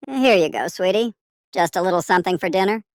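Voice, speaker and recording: A woman voicing a sweet, playful older neighbour in an audio story, chatty and affectionate with a creaky voice. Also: medium pitch, expressive, fairly quick, dry recording, studio quality, close to an everyday voice. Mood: kindly. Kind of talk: scripted audio story character.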